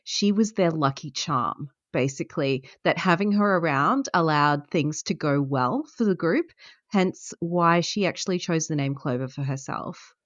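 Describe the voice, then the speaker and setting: Low-voiced woman, thoughtful and unhurried. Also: lively intonation, dry recording, muffled recording. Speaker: guest player. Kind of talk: casual interview answers.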